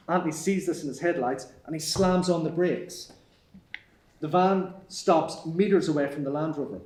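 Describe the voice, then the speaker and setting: Man narrating a film script out loud at a table read, voice a bit high, roomy echo. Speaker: narrator reading stage directions. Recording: echoey room.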